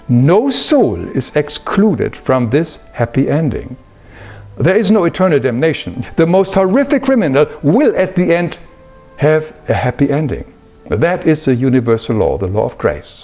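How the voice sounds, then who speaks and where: A man delivers a spiritual lesson in a fairly high voice that rises and falls expressively. He is earnest and emphatic, setting out his points in measured phrases. Soft music accompanies him. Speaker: spiritual teacher. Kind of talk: explanatory spiritual talk.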